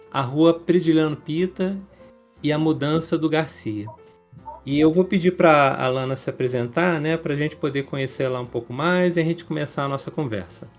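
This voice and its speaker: A man hosting a podcast, introducing his guest in a rambling, hesitant way with many fillers. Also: on the high side. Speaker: podcast host.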